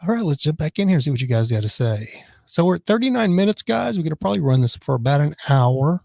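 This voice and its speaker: A man hosting a live stream from his office, reading viewer comments and rambling in casual chat, his voice raspy from choking on his dinner. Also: a little high, big pitch swings, fast talker, a little mellow, no echo, clean studio sound. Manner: rambling and chatty, many restarts.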